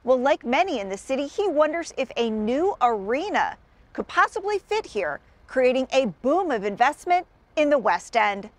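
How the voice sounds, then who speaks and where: A woman in her forties narrating a news report as an investigative reporter, in a crisp, measured delivery. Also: high-pitched, very animated, no echo. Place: outdoors beside a parking garage.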